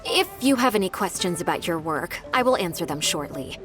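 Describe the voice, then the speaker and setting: A woman voicing a dutiful, efficient secretary in a video game, polite, businesslike and expressive. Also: on the low side, a little fast. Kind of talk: scripted video game dialogue. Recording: background music behind voice.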